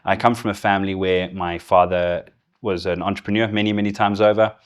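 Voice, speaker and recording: A deep, low-pitched middle-aged man speaks with a Zimbabwean accent worn partway into English. He tells his own story in a relaxed, candid way and laughs easily. It sounds like an interview guest in casual conversation. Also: brisk, clean tone. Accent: Zimbabwean with English influence. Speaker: guest, business executive.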